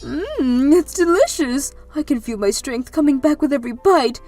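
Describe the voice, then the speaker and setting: A kindly old grandmother, played by a woman in an anime-style dub. She is gracious and expressive, with a fairly high, swooping voice over background music. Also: moderate pace. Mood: delighted. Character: kindly, gracious elderly grandmother hotel guest.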